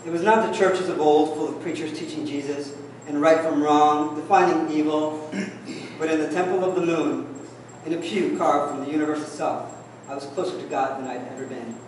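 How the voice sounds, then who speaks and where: A man reading his poem aloud in a measured way, his voice fading softer toward the ends of lines. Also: on the high side, fairly even intonation, a little hiss or hum. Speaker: poet reading his work. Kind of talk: poetry reading. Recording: echoey room from a distance.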